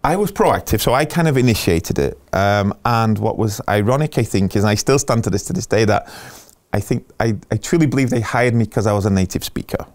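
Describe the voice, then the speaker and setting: Lively man in his middle years. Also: fairly low pitch, brisk. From England. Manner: animated storytelling, acts out quoted dialogue, frequent restarts. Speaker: guest. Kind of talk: casual interview conversation.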